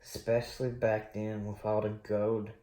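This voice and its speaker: Slow-talking man in an echoey room. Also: fairly low pitch. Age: late 30s. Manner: rambling, relaxed, many fillers and pauses. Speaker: coin collecting channel host. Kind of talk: casual personal storytelling while unboxing.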